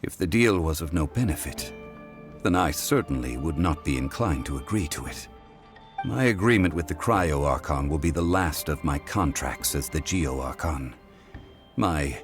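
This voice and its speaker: Deep, solemn, dignified god. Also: male voice. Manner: formal, composed, solemn. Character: calm, dignified male god of contracts, ageless. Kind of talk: video game cutscene voice acting. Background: music.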